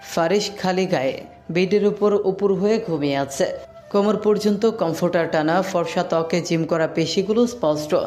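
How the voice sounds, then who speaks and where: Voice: medium pitch, normal speed, a little mellow. A narrator reading a scripted romantic story as the storyteller. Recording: background music under the voice.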